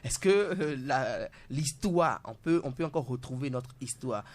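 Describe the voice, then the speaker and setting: A man's voice: medium pitch, animated, a little slow. A male radio host with an ordinary mid-range voice steers a studio discussion. He keeps cutting in to finish his guest's sentences. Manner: interjects, completes the guest's sentences.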